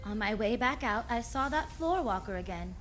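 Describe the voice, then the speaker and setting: Woman playing a high-pitched sassy lady detective. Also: expressive, normal speed, loud music behind the voice, natural voice. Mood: wry. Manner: wry, breezy, slangy. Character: sassy, unflappable female private detective narrating her case. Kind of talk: noir radio drama narration and acting.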